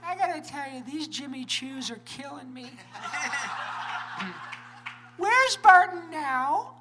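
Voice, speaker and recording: A man's voice: very high voice, big pitch swings, slow, bright, forceful tone, exaggerated voice. A man voicing a sassy, coy and easily exasperated female spy in a comic live script reading. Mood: weary, concerned.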